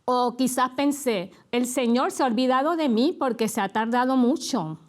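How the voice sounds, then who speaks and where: A woman's voice: a little high, normal speed. Puerto Rican woman sharing a testimony. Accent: Puerto Rican Spanish. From Puerto Rico. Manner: relaxed storytelling with light laughs. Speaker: co-host and guest. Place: TV studio.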